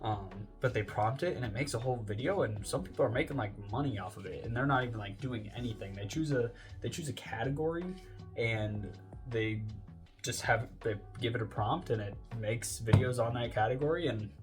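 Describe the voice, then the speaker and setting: A man's voice: mid-range voice, a little fast, over music. A young man talking casually as a fitness vlogger, rambling with lots of fillers and self-corrections. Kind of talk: casual rambling vlog talk.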